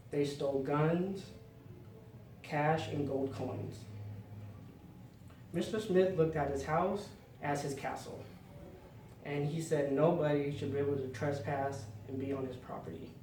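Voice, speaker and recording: Guy doing a storytelling speech, kinda high voice, pauses for drama, mic far off with a bit of noise. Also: darkish tone. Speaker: public speaker. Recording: roomy, distant mic, faint noise.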